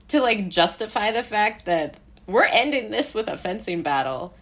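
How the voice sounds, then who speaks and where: A woman's voice: medium pitch, expressive, measured pace, fairly bright tone. An American woman from Brooklyn talking casually as an interview guest, informal, rambling, amused and self-deprecating. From Brooklyn, United States.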